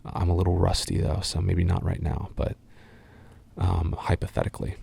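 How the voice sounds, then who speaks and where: A young adult man from the United States, talking in a soft, half-whispered way and rambling through fan questions with lots of ums and uhs. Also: deep voice, monotone, moderate pace, creaky, husky. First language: English. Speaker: voice actor answering fan questions.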